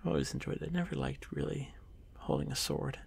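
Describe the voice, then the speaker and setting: A man playing a shy, nervous young male drider in an ASMR fantasy roleplay, hesitant, stammering and soft-spoken, apologising and often slipping toward a whisper. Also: a little high, fairly even intonation, a little fast, heavily acted. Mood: hesitant, wistful. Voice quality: gravelly, creaky.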